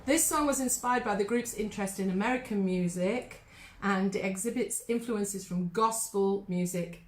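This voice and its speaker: A woman's voice: a little high, a bit bright, slight background noise. Chatty English woman in an echoey room. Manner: chatty, laughs often, reads facts aloud. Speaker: singing teacher and host. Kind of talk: casual chat.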